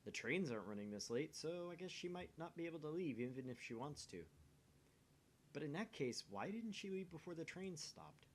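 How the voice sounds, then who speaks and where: A man's voice: fairly high pitch, very animated, brisk, a little hiss or hum. A man who hosts gaming videos, reading a story aloud with casual banter in between.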